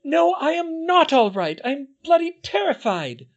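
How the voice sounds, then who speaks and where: A man reading as an anxious, bookish teenage schoolgirl witch from a storybook, pitched well above his own voice. She speaks high and earnest, her pitch swinging widely, and the recording sounds dull and lo-fi. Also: sing-song, normal speed, darkish tone. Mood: frightened, pleading. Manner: high, earnest, tearful pleading.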